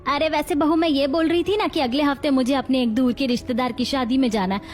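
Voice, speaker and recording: Woman acting a scheming, high-voiced mother-in-law. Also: fairly even intonation, fast, darkish tone, faint music behind, close to an everyday voice. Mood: coaxing. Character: flattering, scheming, greedy middle-aged mother-in-law. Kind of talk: acted Hindi family story dialogue.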